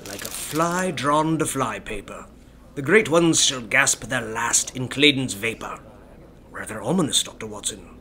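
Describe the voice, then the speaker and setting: A man plays a dutiful, questioning police inspector in a Victorian audio drama. His voice is bright and very animated, with a deferential, inquisitive manner. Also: medium pitch, measured pace. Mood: puzzled. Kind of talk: audio theatre period drama acting.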